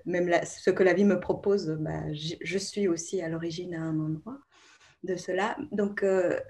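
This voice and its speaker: A woman in her forties, a therapist, giving slow, reflective interview answers with many pauses and hesitations. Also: on the low side, fairly even intonation, darkish tone, a little hiss or hum. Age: 40s. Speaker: therapist guest.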